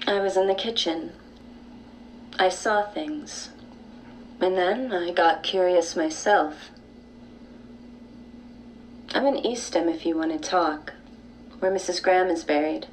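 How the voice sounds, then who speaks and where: An actress playing a mysterious, reclusive woman with occult leanings in an audio drama, measured and calmly insistent. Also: fairly low pitch, a little hiss or hum, low-quality recording. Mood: secretive, insistent. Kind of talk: naturalistic audio drama acting.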